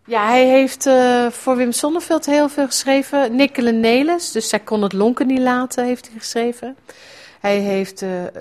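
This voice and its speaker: A woman's voice: on the high side, fairly even intonation, normal speed, darkish tone. A middle-aged woman, telling a personal recollection in a conversational, reflective way. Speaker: writer, guest presenting a personal radio piece.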